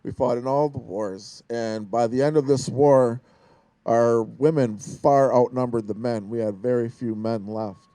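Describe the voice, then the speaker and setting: A middle-aged man with a big, carrying voice, giving an earnest, storytelling speech to a crowd, often pausing with "uh" and restarting his sentences. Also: mid-range voice, fairly even intonation, dark tone, dry recording, low-quality recording. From Wisconsin, USA. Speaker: invited speaker. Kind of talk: public speech at an outdoor event.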